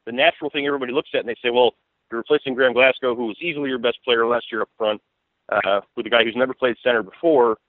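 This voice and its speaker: A man's voice: medium pitch, no room sound. A man giving casual sports analysis as an interview guest, thinking aloud in a rambling, conversational way. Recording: tinny, dry phone-like radio line.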